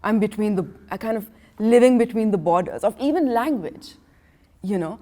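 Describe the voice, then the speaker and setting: A woman artist, a native Farsi speaker from Iran and Pakistan, talks through her memories in a loose, rambling way. Her voice sits at an ordinary middle pitch, and she keeps circling back with "you know" as she speaks. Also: animated, moderate pace, mellow. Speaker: artist, interview guest. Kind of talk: casual conversational storytelling. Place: art gallery.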